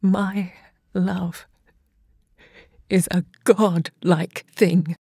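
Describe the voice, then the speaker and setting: An actress playing a passionate, proud literary hostess and lover, narrating an audio drama with dramatic, deliberate delivery. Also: female voice, fairly low pitch, flat delivery, mellow, breathy edge, no room sound, clean studio sound, heavily acted. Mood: grieving, defiant.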